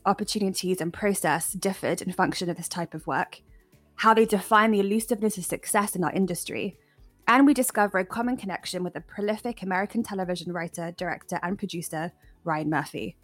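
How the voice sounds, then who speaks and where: A woman's voice: mid-range voice, fairly quick, faint music behind. A woman hosting a podcast interview, upbeat and gushing, laughing often as she introduces her guests. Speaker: podcast host.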